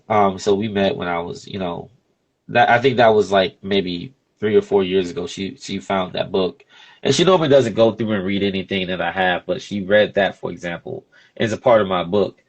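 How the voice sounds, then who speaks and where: Male, early 30s, deep voice. Podcast host in relaxed interview conversation, informal phrasing with frequent conversational check-ins. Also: moderate pace, poor audio. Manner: informal, peppered with "you know what I'm saying". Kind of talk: casual conversational interview talk.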